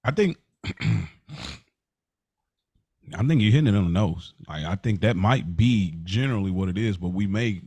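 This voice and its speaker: A man co-hosting a podcast in casual, reflective chat, thinking out loud and searching for his words with rhetorical questions. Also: fairly low pitch, lively intonation, moderate pace, pauses often, husky, no echo. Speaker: podcast co-host.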